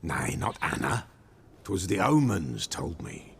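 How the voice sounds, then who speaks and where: A man's voice: deep voice, very animated, slow pace, heavily acted. An actor playing an old male village soothsayer, cryptic and superstitious, who speaks in archaic, folksy sayings in a video game cutscene. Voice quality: gravelly.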